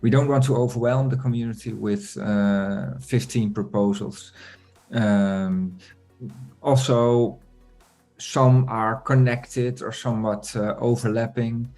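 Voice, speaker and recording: A man hosting an online community meeting, talking in a relaxed, chatty way with many fillers. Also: medium pitch, slow, faint music behind. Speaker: meeting host.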